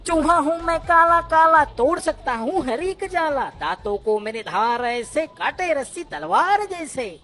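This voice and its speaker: A woman's voice: high-pitched, lively intonation, unhurried, few pauses, a bit bright, soft background music. A woman voicing a tiny, cheeky, boastful male mouse in a children's cartoon, playful and theatrical. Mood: proud, playful. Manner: stammers when frightened, playful and theatrical. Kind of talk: children's animated story voice acting.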